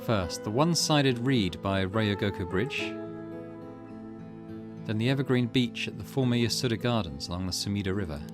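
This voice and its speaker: A man's voice: on the low side, expressive, moderate pace, music in the background. A man doing let's play narration, reading game text aloud and muttering his own reactions between passages. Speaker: gaming video host.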